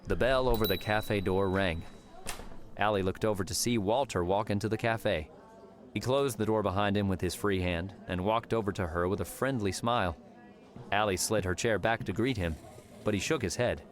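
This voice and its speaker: Very deep-voiced male audiobook narrator. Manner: measured storytelling. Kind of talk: scripted fiction narration.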